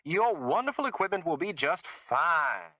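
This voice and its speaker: Brief: male narrator doing a high, cooing female politician, fake Southern drawl, sugary and insincere. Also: sing-song, measured pace, sharp tone, no room sound, heavily acted. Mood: falsely reassuring.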